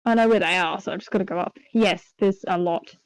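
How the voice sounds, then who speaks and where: A young woman from New Zealand with an accent softened by years in Australia, chatting calmly with a dry, teasing manner. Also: mid-range voice, brisk, clear, resonant tone. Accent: Australian-tinged New Zealand. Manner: dry teasing, calm, coaching. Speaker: co-host. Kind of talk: casual worldbuilding chat.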